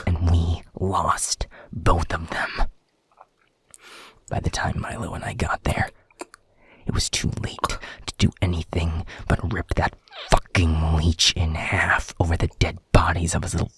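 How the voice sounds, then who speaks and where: A man playing a bitter, menacing werewolf pack leader in an audio roleplay, profane and commanding in a breathy growl. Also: low voice, fairly bright tone. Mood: menacing fury. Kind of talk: audio roleplay drama acting.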